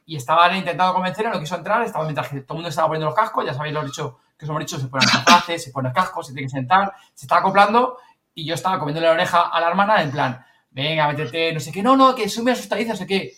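A man with a Spanish accent from Spain tells an anecdote casually as a podcast host, colloquial and animated. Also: a little high, rapid-fire, recorded from a distance. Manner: animated storyteller, colloquial, swears casually, quotes others. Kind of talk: casual anecdote telling among colleagues.

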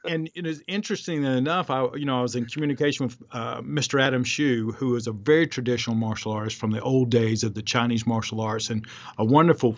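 A man hosting a podcast interview, speaking slowly and thoughtfully in a relaxed, agreeable way. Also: medium pitch. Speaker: podcast host. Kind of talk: casual interview conversation. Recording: muffled audio.

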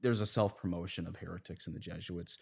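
A man with a low-pitched voice talks as a guest priest on a religious podcast. He is earnest and conversational, setting out his points in plain words and everyday analogies. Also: steady tone, quick pace, creaky, a little mellow, breathy edge.

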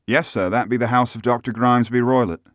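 A man's voice: medium pitch, steady tone, fast, a bit bright, dry recording, clean studio sound, voice pushed up, lightly acted. A man voicing a helpful, deferential country trap driver in a storybook reading.

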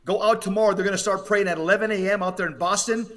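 A man's voice: high-pitched, fairly even intonation, quick pace, fairly bright tone. A man giving impassioned religious exhortation as a podcast commentator, urgent and emphatic, often repeating phrases for weight.

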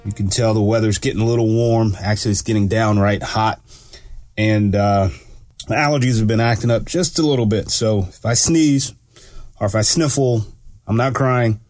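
A man hosting his own radio talk show, sounding a bit stuffy from allergies and talking in a relaxed, rambling way with lots of fillers. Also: on the low side, moderate pace, no room sound, studio quality. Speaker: radio show host and law enforcement analyst. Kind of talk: casual solo radio talk.

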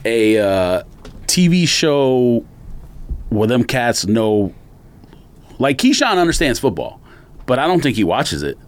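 A man's voice: medium pitch, sing-song, slow, long pauses. A man hosting a sports podcast, giving blunt, argumentative takes and game picks in casual slang.

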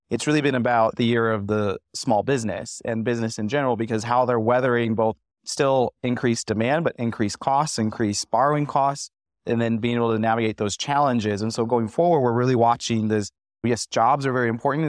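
A man speaking as an economist on a panel, analytical and measured. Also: medium pitch, fairly even intonation, no room sound, clean studio sound. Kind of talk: panel discussion.

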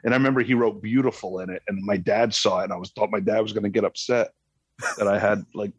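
A man's voice: on the low side, fast talker, breathy edge, dry recording. A man in his late forties chatting about sports on a podcast, telling rambling anecdotes. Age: 40s. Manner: tells anecdotes with relaxed, rambling restarts. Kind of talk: casual chat.

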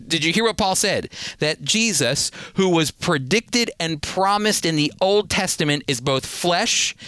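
An earnest man teaching the Bible on the radio, his voice a bit high for a man and swinging widely up and down as he stresses points. He often stumbles over repeated words as he starts a sentence. The sound is dry and close, with no room around it. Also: pushed and bright, clear, resonant tone, dry recording.

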